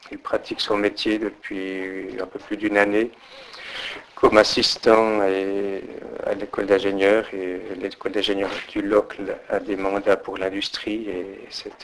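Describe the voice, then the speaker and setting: A middle-aged man from Portugal, answering interview questions hesitantly and pausing often. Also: on the low side, flat delivery, slight background noise, poor audio. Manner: hesitant, many fillers and restarts. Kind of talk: oral history interview.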